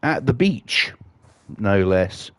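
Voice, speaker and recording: A man's voice: fairly low pitch, sing-song, slow pace, no room sound. A man with a cold, chatting casually and swearing while streaming a game, with lots of fillers. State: has a cold.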